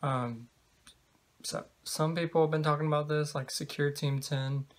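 Male vlogger, 20s, casual and halting, little pitch movement, talking to a camera at home. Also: steady tone. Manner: halting, hesitant, trailing delivery. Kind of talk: casual rambling talk to camera. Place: small indoor bedroom.

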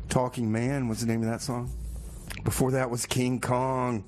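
A man's voice: mid-range voice, little pitch movement, moderate pace, darkish tone, natural voice. A man playing a scatterbrained, boastful radio host in a comedy show, rambling and exclaiming in a flustered way. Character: scatterbrained, boastful radio host fretting over award paperwork. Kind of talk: comedy radio theater and music DJ banter.

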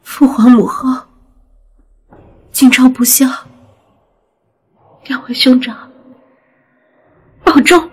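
Lady playing young celestial princess, really high voice, solemn and emotional, proud, audio drama. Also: takes it slowly, pauses often, husky, full character voice. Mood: sorrowful farewell.

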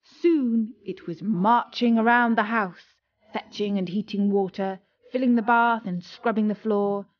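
A woman reads a story in a scripted narration style. She takes her time, with a mid-pitched voice, and the recording sounds a little dull. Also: fairly even intonation, measured pace, no echo.

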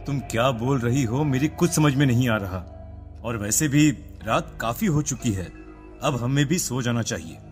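A man voicing a concerned young man of an Indian household in an animated horror story, with music underneath. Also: medium pitch, normal speed, close to an everyday voice. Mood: puzzled.

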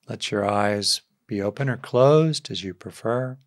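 Soft spoken guy teaching meditation, really slow, lots of pauses, dry close sound. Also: lowish voice, no room sound. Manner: gentle, soft-spoken, with long pauses between short phrases. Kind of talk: guided meditation.

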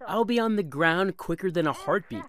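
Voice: medium pitch, animated, moderate pace, a crowd in the background, close to an everyday voice. An actor voicing a wimpy, bookish teenage boy in a cartoon comic dub, hesitant and self-deprecating. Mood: wry, self-deprecating. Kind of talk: animated comic dub acting. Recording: music behind the voice.

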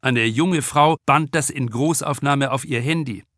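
A man narrating a comic crime novel with deliberate, expressive storytelling in a studio. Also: mid-range voice, dry recording. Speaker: author reading his audiobook.

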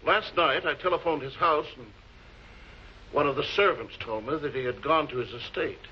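A man's voice: a little high, normal speed, husky. An actor playing a wry, defensive male novelist under suspicion in a 1940s television crime drama, with formal, articulate, guarded speech. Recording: muffled, noisy old film soundtrack.

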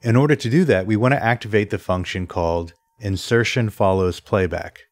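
A man presenting an instructional software screencast in a methodical, step-by-step way. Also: fairly low pitch, a little fast, no echo, clean studio sound. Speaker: tutorial presenter.

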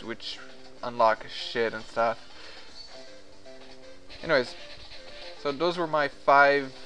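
A man's voice: mid-range voice, fairly bright tone, poor audio. A man whose first language is French, chatting casually in a rambling, hesitant way with lots of fillers while he plays a game. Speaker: gaming vlogger. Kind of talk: casual commentary over gameplay.

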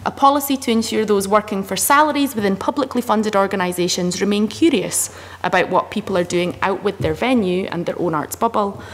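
A woman in her thirties on a panel, reading a list of proposals aloud in a steady, measured way. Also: medium pitch. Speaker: panel speaker. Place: committee room in a parliament building. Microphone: desk microphone. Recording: long reverb with faint room noise.

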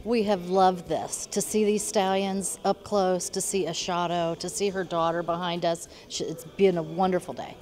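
A woman's voice: lowish voice, steady tone, normal speed, hardly any pauses, a little mellow. A woman in her fifties giving casual interview replies as a visitor in a stable barn. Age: 50s.

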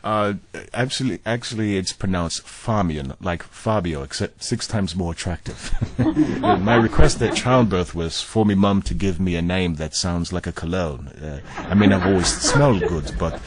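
A man's voice: low voice, moderate pace, over a noisy crowd, close to an everyday voice. An actor playing a vain, joking male public figure with a playful, self-admiring manner. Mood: playful vanity.

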